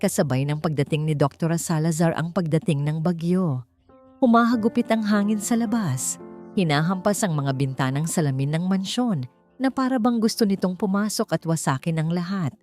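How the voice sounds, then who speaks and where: A woman narrating a dramatic story as an audiobook, in measured, emotive short phrases. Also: lowish voice, a little mellow, faint music behind.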